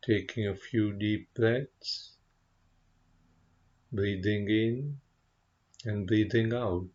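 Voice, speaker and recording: A man leading a guided meditation as a teacher, speaking gently and contemplatively, with unhurried pauses between short phrases. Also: fairly low pitch, muffled recording. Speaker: meditation teacher, Buddhist monk.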